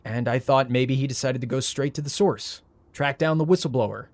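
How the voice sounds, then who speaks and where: A man playing a frazzled, sarcastic young heir in an audio drama, with a wry, flustered, halting delivery. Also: medium pitch, natural voice. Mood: uneasy. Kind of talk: audio drama acting, naturalistic.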